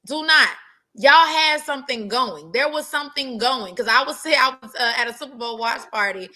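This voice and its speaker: A woman's voice: high voice, normal speed, bright, forceful tone. A woman co-hosting a sports talk show, making her points with emphatic repetition in casual chat. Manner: emphatic, repeats points for stress.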